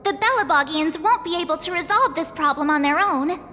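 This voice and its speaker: A woman's voice: very high voice, brisk, bright, forceful tone. A woman voicing a perky, outspoken teenage girl adventurer in a video game, informal and spirited. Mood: concerned. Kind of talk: video game cutscene voice acting. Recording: long hall reverb, background music.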